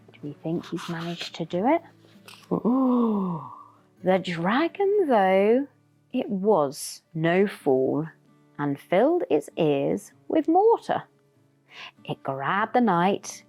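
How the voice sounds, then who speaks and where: A woman's voice: on the low side, darkish tone. A woman reading a rhyming children's story dramatically, with asides to young listeners. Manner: dramatic, with gasps and whispered asides. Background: background music.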